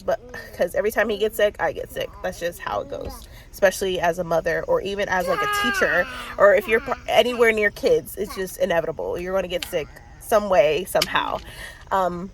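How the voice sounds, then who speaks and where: A woman's voice: on the low side, animated, a little fast, noisy background. A woman in her thirties with a stuffy cold, chatting casually on a book vlog, rambling with lots of fillers and little laughs. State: congested with a cold. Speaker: book vlogger. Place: in a car.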